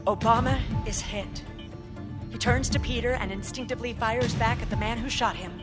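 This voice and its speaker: A woman narrates a radio drama from a script, setting out the action as stage directions. Her voice sits somewhat high, with loud music beneath it. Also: moderate pace.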